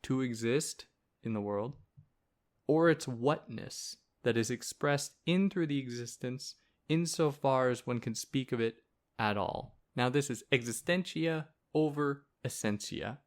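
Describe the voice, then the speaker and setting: A man with a soothing voice, hosting a podcast and explaining a philosophy text in a relaxed, conversational way. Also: medium pitch, measured pace. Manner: relaxed, conversational, with fillers and asides. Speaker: podcast host. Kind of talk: casual explanatory philosophy lecture.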